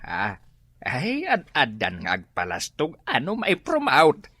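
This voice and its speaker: An actress playing a teasing office coworker in a radio comedy drama. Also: female voice, low voice, wildly swooping pitch, takes it slowly, fairly bright tone, breathy edge, no room sound, full character voice. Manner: teasing, lecturing.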